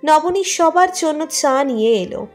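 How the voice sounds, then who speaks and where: A woman's voice: high voice, animated, measured pace. A woman reading a scripted romantic story as its narrator. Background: music under the narration.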